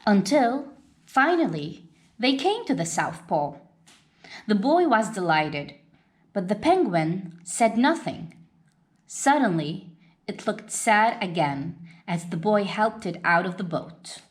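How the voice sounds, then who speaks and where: A woman reading a children's picture book aloud as a storyteller, with expressive, dramatic delivery. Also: mid-range voice, takes it slowly.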